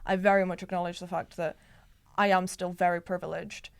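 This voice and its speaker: A woman's voice: on the low side, little pitch movement, brisk, creaky, clean tone, dry recording. A woman from Poland speaks with a British accent as a guest in a casual discussion. She is earnest and repeats herself as she sorts out her thoughts. The recording is dry and close. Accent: British English. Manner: earnest, with frequent repetition. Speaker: panel guest.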